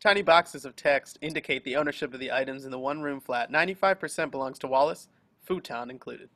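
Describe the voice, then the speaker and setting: Bright, forceful male narrator. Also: mid-range voice, fast talker. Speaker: narrator of stage directions. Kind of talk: scripted narration at a table read. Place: remote group video call.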